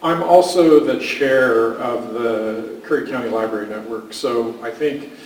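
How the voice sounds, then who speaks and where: A man, a library director, speaking in a measured, hesitant way at a public meeting. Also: medium pitch, a little hiss or hum. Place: meeting room. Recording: echoey room from far off.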